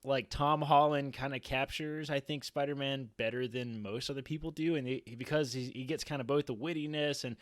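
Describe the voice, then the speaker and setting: A man's voice: medium pitch, steady tone, brisk, hardly any pauses, no echo. A man in his thirties talking casually and in a rambling way as a podcast co-host, close on a studio mic. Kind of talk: casual roundtable discussion. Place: small home room, indoors. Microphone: boom-arm studio mic up close.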